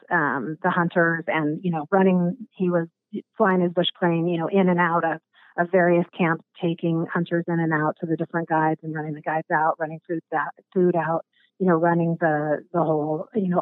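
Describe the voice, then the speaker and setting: A woman from Alaska, guest on an interview, talking casually with a raspy voice and many pauses and restarts. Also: lowish voice, no room sound. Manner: halting, many ums and restarts. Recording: phone-line sound.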